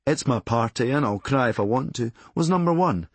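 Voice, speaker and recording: A man with a Glasgow Scottish accent reading a scripted memoir, with a deadpan, darkly humorous delivery. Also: mid-range voice, brisk, no room sound. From Glasgow, Scotland. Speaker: audiobook narrator and author.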